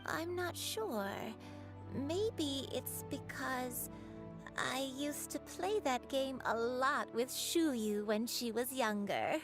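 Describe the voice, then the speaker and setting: An actress playing a gentle, forgetful elderly grandmother in a video game, telling things in a slow, wistful way. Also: high voice, music in the background. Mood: musing. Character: frail elderly granny, gentle, forgetful and self-blaming. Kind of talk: scripted video game dialogue.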